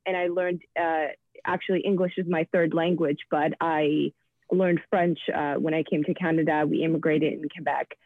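A woman who writes children's books, giving a thoughtful interview answer with frequent pauses and fillers, heard over a phone line. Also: fairly low pitch, no room sound. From Africa. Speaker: children's book author and guest. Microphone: phone or call-in line.

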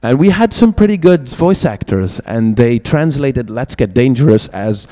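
Halting Dutch-accented moderator in a hall. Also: male voice, mid-range voice, lively intonation, mellow. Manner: halting, self-deprecating, many hesitations. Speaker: panel moderator, host. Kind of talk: convention panel moderation. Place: convention panel room. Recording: echoey hall.

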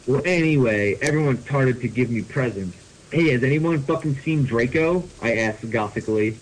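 Male podcast reader, mid-pitched, mock-serious comic delivery with casual asides, some background noise. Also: moderate pace, low-quality recording. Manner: mock-serious delivery, often breaking into laughter. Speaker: podcast host reading fan fiction aloud. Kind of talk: comedic dramatic reading with asides.

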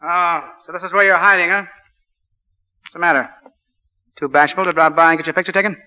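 A man's voice: a little high, steady tone, a little fast, natural voice. A man playing a commanding, wry American college football coach with clipped, bossy delivery, in 1940s radio drama style. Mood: teasing, fond. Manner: clipped, bossy, wryly sarcastic. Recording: dull lo-fi 1940 radio broadcast.

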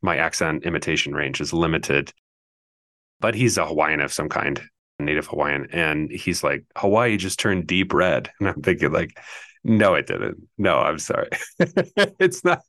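A man co-hosting a podcast, explaining things in a thoughtful, steady way during a casual theological discussion. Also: a bass voice, moderate pace, no echo. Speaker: podcast co-host.

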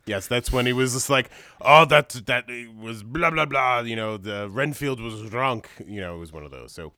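A man's voice: mid-range voice, moderate pace, bright, forceful tone, dry recording, clean studio sound. A man hosting a casual movie podcast, laid-back, chuckling often and letting his sentences trail off. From New York State. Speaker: podcast host. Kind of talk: casual chat.

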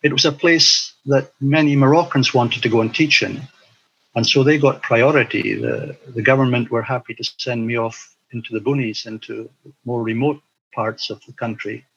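An older man reflecting on his past in an interview, speaking in a hesitant, halting way. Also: mid-range voice, fairly even intonation, fairly bright tone, breathy edge, highs cut off. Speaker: interview guest, former teacher abroad. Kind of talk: recollection in an interview.

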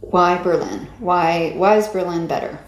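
Woman asking interview questions, kinda low voice, calm and attentive, roomy echo. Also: a little slow. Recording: echoey room.

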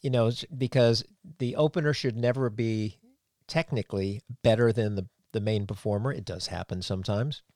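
A man's voice: on the low side, normal speed, darkish tone, no echo, clean studio sound. A man hosting an interview, talking at length in long run-on thoughts and complimenting his guest. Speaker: podcast host, former sound engineer. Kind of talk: casual interview chat.

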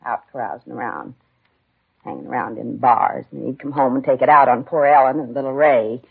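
Old American woman, stubborn complainer, raspy voice, blunt folksy delivery, 1950s radio drama, thin phone-like sound. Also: rumbling low, a little fast, full character voice. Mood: scornful. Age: older. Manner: blunt, querulous, folksy grammar. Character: bitter, stubborn, complaining old mother-in-law. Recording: tinny, narrow-band 1950s radio recording.

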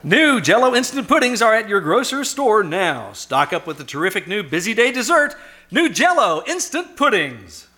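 A man's voice: high-pitched, big pitch swings, moderate pace, sharp tone, exaggerated voice. A man playing a cheery 1940s radio announcer, reading scripted ads and narration with peppy, emphatic delivery. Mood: upbeat selling. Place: live stage performance at a winery.

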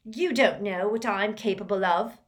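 A woman voicing a tense, defiant teenage boy wizard who speaks forcefully and vehemently. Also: fairly high pitch, huge pitch swings, a little slow, pitched above the performer's own voice, exaggerated voice.

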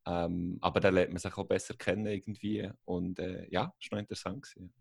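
Swiss German young man, very deep fry-edged voice, dry sound, hesitant pace on a video call. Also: flat delivery, fairly quick, no room sound. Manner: hesitant, many fillers and restarts. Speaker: student abroad. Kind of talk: casual group call.

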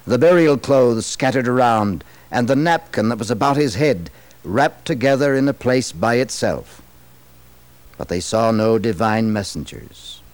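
An American man narrating a dramatized religious story in a solemn, theatrical, measured old-radio style. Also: mid-range voice, a little mellow. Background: music.

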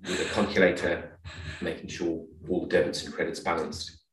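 A man in middle age speaks in a very deep register, sounding roomy and distant from the microphone. He is from Zimbabwe and explains his points conversationally, pausing to find words as an interview guest. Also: little pitch movement. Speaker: podcast guest.

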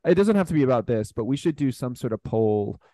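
A man's voice: medium pitch, expressive, fairly quick, dark tone, dry recording, studio quality. A man co-hosting a football podcast in casual, playful, joking chat.

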